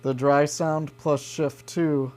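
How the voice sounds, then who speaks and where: A man's voice: on the high side, level tone, takes it slowly, darkish tone. A man explaining a guitar effects pedal step by step in an informal demo, often checking in with the listener. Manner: informal, checks in with "right" and "okay".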